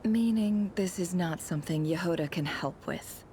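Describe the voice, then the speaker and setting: An actress playing a sly, commanding woman boss in a video game, with a deliberate, sardonic delivery. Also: fairly low pitch, warm tone, slight background noise, natural voice. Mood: cool, measured. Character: sly, commanding woman boss, coolly teasing and self-assured.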